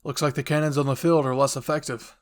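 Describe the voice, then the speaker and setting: A man's voice: mid-range voice, little pitch movement, fast, lightly acted. A man voicing a stern military commander in a fan fiction audio drama, projecting clipped orders. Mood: grave, loud.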